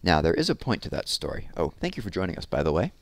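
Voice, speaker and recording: Low-voiced man reading to children. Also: sing-song, fast, no room sound. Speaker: read-aloud storyteller. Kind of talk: children's picture book read-aloud with casual asides. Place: small room at home, indoors. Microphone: headset.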